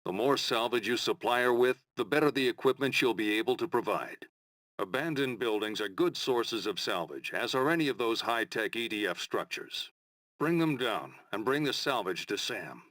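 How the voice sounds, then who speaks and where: An actor playing a commanding rebel leader in a video game, giving a measured, blunt scripted briefing with authority. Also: male voice, medium pitch, animated, a bit bright, no echo, lightly acted. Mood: instructive.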